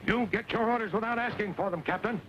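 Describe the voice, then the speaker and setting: A man's voice: sits high, fast, lightly acted. An actor playing a stern, blustery middle-aged colonel in an old Western, barking his words indignantly. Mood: commanding. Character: blustery, stern Yankee Army colonel and ranch owner. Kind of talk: old Western film acting. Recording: muffled, hissy old film soundtrack.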